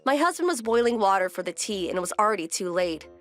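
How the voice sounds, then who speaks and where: A woman's voice: medium pitch, very animated, fast talker, dry recording, faint music behind, lightly acted. A woman playing a composed, wry wife who narrates her domestic story in a scripted manga dub. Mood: dismayed.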